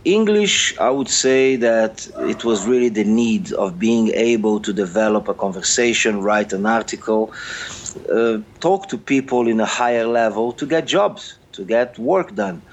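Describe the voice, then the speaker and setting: A middle-aged man with a Brazilian Portuguese accent, a journalist answering interview questions in a halting, earnest way, often repeating words as he searches. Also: lowish voice, expressive, highs cut off, slight background noise. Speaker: journalist guest.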